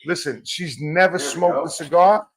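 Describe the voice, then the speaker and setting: A man hosting a podcast live from a cigar shop, loud, joking and profane. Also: fairly high pitch, a little fast. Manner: boisterous, profane, teasing. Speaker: podcast host.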